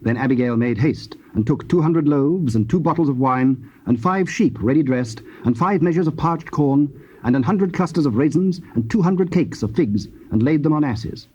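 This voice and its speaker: A man's voice: a little high, lively intonation, normal speed, a little mellow, slightly rough. A man narrating a dramatized scripture recording in a solemn, scripted storytelling style. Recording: dull old cassette, faint noise.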